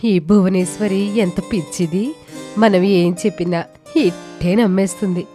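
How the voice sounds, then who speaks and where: A woman's voice: mid-range voice, measured pace, mellow, soft background music, close to an everyday voice. A woman playing a cunning, smug village schemer with a confident, conniving manner in a moral story.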